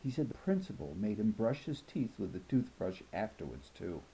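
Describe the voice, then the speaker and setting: Children's audiobook: cocky fifth-grade prankster played by a man, very mellow tone, animated delivery, jokey and matter-of-fact. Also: on the low side, moderate pace, slight background noise, low-quality recording. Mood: cocky, amused. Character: cocky, mischievous fifth-grade boy telling his story. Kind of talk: first-person children's novel narration.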